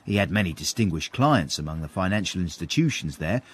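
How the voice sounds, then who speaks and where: A man's voice: low-pitched, expressive, brisk, breathy edge. An actor playing a wry, discreet British manservant, confidingly narrating his tale in a period comic radio drama. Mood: dry storytelling.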